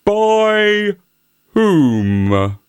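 A man's voice: mid-range voice, huge pitch swings, dry recording, full character voice. A man voicing a vain, timid female donkey who simpers and draws out her words. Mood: simpering. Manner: simpering, drawn-out.

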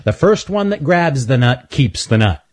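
Cocky male chipmunk played by a man, teasing and breezy, lifted pitch, husky edge, storybook character. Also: very animated, normal speed.

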